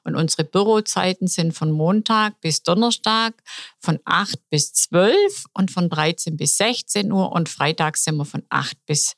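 Casting: informal female podcast guest in her 60s, slightly low voice, dry recording. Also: animated, measured pace, no echo. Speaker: podcast guest, office administrator. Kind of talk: casual interview conversation.

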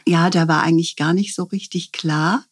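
A middle-aged woman, a volunteer hospice companion, speaking reflectively in a conversational podcast interview, often pausing to restart her sentences. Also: on the low side, fairly even intonation. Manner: reflective, with frequent short restarts.

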